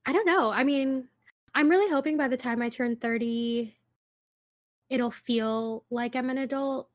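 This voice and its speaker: A young woman in her twenties chats loosely on a podcast, her voice sitting a little higher than most. She talks casually, full of "like" fillers, and laughs easily between thoughts. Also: measured pace. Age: 20s. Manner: chatty, many "like" fillers, laughs often. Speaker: podcast co-host. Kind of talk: casual chat.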